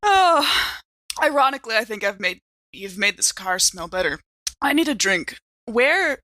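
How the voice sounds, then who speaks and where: A woman's voice: on the high side, sing-song, unhurried, sharp tone, no room sound, exaggerated voice. A woman playing a frazzled, hard-drinking woman in a horror drama, rambling, giggly and sardonic.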